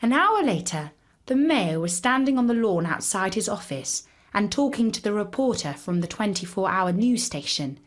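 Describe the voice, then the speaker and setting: A woman narrating a children's story in an expressive storytelling style. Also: mid-range voice, normal speed.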